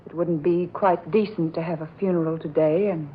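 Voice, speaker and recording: An actress playing a gentle, troubled young bride-to-be, earnest and plaintive in 1940s radio drama style. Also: female voice, lowish voice, fairly even intonation, normal speed, a little hiss or hum, natural voice. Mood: sorrowful. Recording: dull vintage radio drama recording. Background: music.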